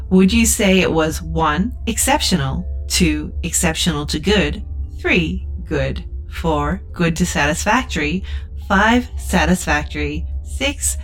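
A female spaceliner computer built for customer service. Her voice sits fairly low and stays chirpy and over-polite, reciting corporate patter as in a sci-fi audio drama, with faint music behind. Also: rough recording. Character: relentlessly upbeat customer-service AI of a budget spaceliner. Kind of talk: science fiction audio drama acting.

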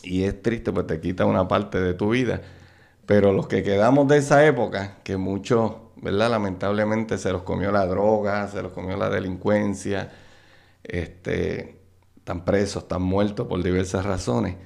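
Gravelly low-voiced Puerto Rican man. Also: fairly even intonation, normal speed, darkish tone. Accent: Puerto Rican Spanish. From Puerto Rico. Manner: laughs often while recalling old times. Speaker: guest. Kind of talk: casual storytelling interview.

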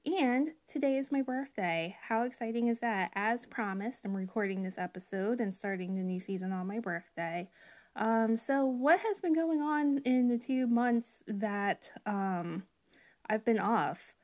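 A young woman hosting her own podcast in a chatty, rambling monologue full of fillers, her voice slightly raspy as she gets over a cold. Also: on the high side, a little slow, a little mellow. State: recovering from a cold, slight cough. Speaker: podcast host. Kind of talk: casual solo podcast monologue.

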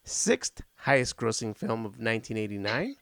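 A man's voice: fairly low pitch, very animated, normal speed, creaky, a bit bright, dry recording, studio quality. A man hosting a casual film podcast, talking enthusiastically and rambling with frequent restarts. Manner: enthusiastic, rambling, self-interrupting. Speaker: podcast host. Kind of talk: casual chat about old films.

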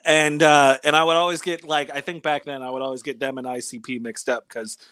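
A man in his thirties with a Californian accent, chatting casually as a guest in a halting, slangy, profane way. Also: mid-range voice, flat delivery, fairly bright tone, no echo, clean studio sound. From California, United States. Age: 30s. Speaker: interview guest. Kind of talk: casual chat, personal recollection.

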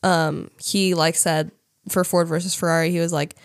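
A congested woman co-hosting a casual podcast, her voice sitting a little low. She reads out facts between fillers and stumbles a bit over names, and the sound is close and dry with no room around it. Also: level tone, moderate pace, no echo. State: congested from a cold. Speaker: podcast co-host.

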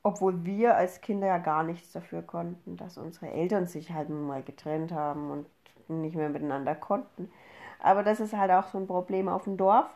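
A woman's voice: lowish voice, steady tone, moderate pace, a little mellow, muffled recording. A woman of 32 from Upper Franconia in Germany, talking casually as a podcast co-host. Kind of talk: casual conversational podcast talk.

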